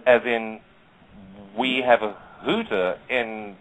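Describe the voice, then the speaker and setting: A man's voice: mid-range voice, steady tone, slow, a bit bright, rough recording. A man from South Africa with a South African accent, hosting an internet radio show and praising the music effusively. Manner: effusive, promotional. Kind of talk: enthusiastic radio chat.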